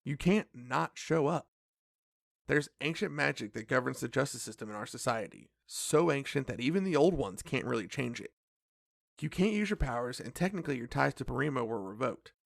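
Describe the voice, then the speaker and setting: A man acting a polite, earnest cafe owner in a fantasy audio drama, speaking in a measured way. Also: mid-range voice, lively intonation, creaky, no echo, clean studio sound. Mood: serious, explanatory. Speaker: voice actor in a fiction podcast.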